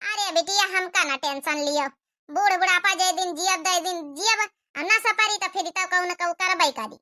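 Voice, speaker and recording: A woman's voice: extremely high pitch, normal speed, sharp tone, no room sound, clean studio sound. A woman voicing a fretful, nagging village mother in a cartoon comedy, with an Awadhi accent and rustic exclamations. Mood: coaxing. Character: scolding, fretful rural Indian mother of a grown daughter.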